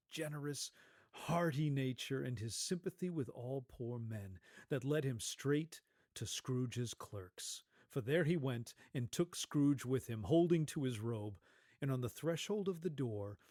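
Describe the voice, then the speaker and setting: A man narrating a scripted story as a theatrical, dramatic and expressive storyteller. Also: mid-range voice, dry recording, clean studio sound.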